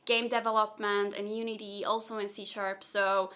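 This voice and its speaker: A woman's voice: medium pitch, level tone, normal speed, fairly bright tone. A young woman from Slovakia, whose first language is Slovak, answers viewer questions in a casual vlog, chatty and thinking aloud with plenty of fillers. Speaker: software engineer and content creator.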